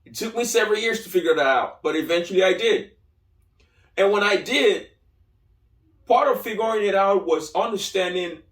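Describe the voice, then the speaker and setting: A man's voice: high-pitched, fairly bright tone. A man in his thirties with a Nigerian accent hosting a solo opinion podcast, emphatic and repeating key phrases. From Lagos, Nigeria. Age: 30s. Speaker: podcast host. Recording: echoey room, distant from mic.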